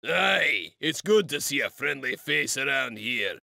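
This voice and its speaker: Gravelly, swaggering male lone wolf warrior. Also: medium pitch, sing-song, unhurried, pushed and bright, no room sound, studio quality, full character voice. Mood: hearty, fierce. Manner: growling, defiant, sardonic. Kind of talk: dramatic video game voice acting.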